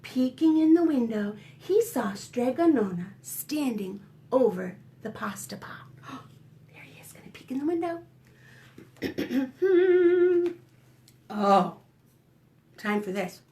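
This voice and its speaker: A woman with a high voice reads a picture book to preschoolers at a slow, careful pace. She is expressive, slipping in dramatic gasps and hushed asides, and speaks gently to her young listeners as a children's librarian would.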